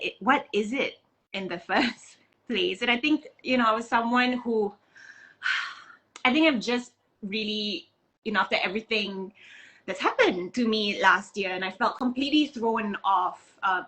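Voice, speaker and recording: A woman's voice: on the high side, expressive, measured pace, fairly bright tone, low-quality recording. A woman hosting an interview in a reflective, casual way, hesitantly searching for her words. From Singapore. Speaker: interview host.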